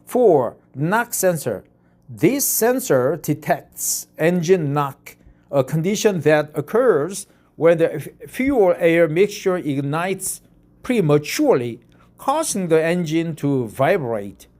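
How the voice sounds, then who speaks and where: A man in his fifties from Korea, with a Korean accent, reading an article aloud and commenting, halting with many fillers and repeated "right?"s. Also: fairly high pitch, very animated, darkish tone. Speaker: YouTube reader of articles.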